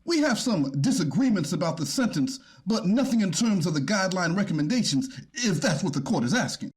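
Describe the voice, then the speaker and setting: Man playing a high, husky defense attorney. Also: very animated, a little fast, heavily acted. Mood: politely contesting. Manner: explanatory, polite but contesting. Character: argumentative, apologetic male defense attorney in court. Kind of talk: amateur readers theater courtroom drama.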